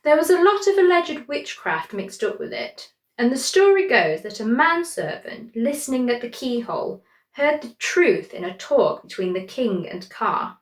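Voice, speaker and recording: A woman's voice: on the high side, big pitch swings, normal speed, roomy sound, pitched above the performer's own voice. A woman reading a witty male journalist's letter in a wry, conversational way.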